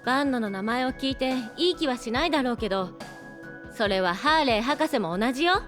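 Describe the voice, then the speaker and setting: Perky, high-voiced teasing female scientist. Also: animated, brisk, fairly bright tone, soft background music. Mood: explanatory. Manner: playful, familiar, emphatic speech. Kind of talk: audio drama acting.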